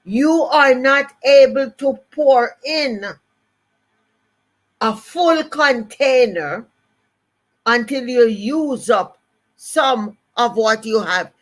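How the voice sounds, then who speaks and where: Older Jamaican woman, motivational speaker, slow and emphatic, slightly high voice, repeats key lines. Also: fairly bright tone. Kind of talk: motivational live-stream talk.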